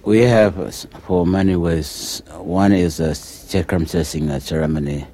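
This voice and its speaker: A man giving hesitant interview answers, pausing often with fillers. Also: low-pitched, steady tone, warm tone. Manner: hesitant, many pauses and fillers. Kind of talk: halting interview answers in English.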